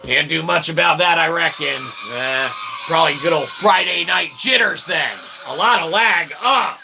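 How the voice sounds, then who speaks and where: A man's voice: a little high, moderate pace, music in the background, rough recording. A man hosting a live stream in a rambling, wordy, mock-formal way, often checking in with short tag questions. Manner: wordy, pseudo-formal phrasing with frequent "okay?" tags. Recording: clear background noise, room ambience.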